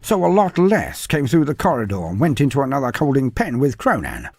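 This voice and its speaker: A man narrating a scripted fantasy tale in a theatrical, deliberate storytelling manner with florid phrasing. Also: medium pitch, huge pitch swings, vocal fry, darkish tone, no echo.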